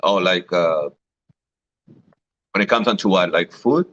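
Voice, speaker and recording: Low-voiced man telling stories, lo-fi. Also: slow pace, fairly bright tone. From Mexico. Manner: storytelling, repeats words, laughs often. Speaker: guest. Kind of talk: casual chat.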